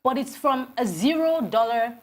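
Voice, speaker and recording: A Nigerian woman in her forties, a TV interview guest telling animated stories about her career with many restarts. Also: high voice, moderate pace. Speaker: guest, HR consultant. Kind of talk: TV interview conversation.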